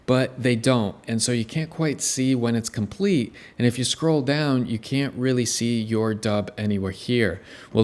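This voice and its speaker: Guy narrating a screen tutorial, upbeat, explains every click, normal pitch. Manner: explanatory, upbeat, walks through steps. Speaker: tutorial host. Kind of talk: screen-recorded software tutorial.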